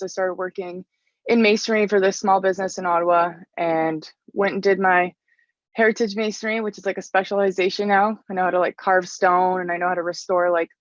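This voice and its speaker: A young woman answers interview questions in a loose, chatty way, dropping "like" between phrases. Her voice sits at a middling pitch. The recording is dry and a little muffled. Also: no room sound. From Canada. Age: young adult. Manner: chatty, frequent "like" fillers. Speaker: interview guest, stone mason.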